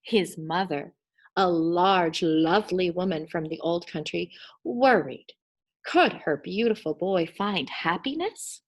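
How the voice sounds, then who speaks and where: A woman reading a children's story expressively, with deliberate, dramatic emphasis on her words. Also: fairly low pitch, expressive.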